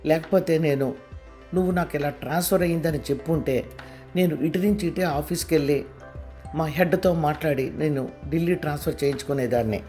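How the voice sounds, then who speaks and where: A man's voice: fairly high pitch, moderate pace, darkish tone, soft background music, close to an everyday voice. A man voicing an angry young wife in an audio story, scolding and demanding in a raised voice. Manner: scolding, heated.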